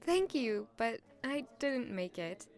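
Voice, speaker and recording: A woman's voice: on the high side, sing-song, slow pace, heavily acted. A woman playing a reserved, modest and wistful young palace maid in an audio drama, with a polite, understated way of talking. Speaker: narrator and lead character of audio drama. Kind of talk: audio drama acting with first-person narration.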